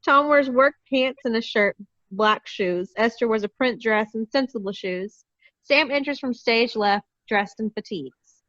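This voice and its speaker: A woman's voice: on the high side, unhurried, fairly bright tone, dull audio. A woman reading stage directions aloud as narrator at a play table read, over a video call.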